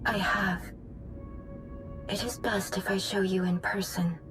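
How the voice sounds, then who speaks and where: A woman's voice: mid-range voice, steady tone, music in the background, close to an everyday voice. Composed, formal woman leader played by an actress. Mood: solemn, troubled. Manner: measured, formal, reserved phrasing. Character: grave, formal, composed female leader of a mystic order. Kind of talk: scripted game dialogue.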